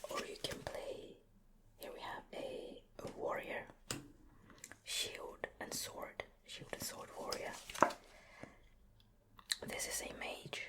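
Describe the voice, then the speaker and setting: A woman doing an ASMR show and tell in a soft, breathy whisper close to the microphone, gently murmuring her commentary. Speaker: ASMR video creator. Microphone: close microphone, ear-to-ear ASMR recording.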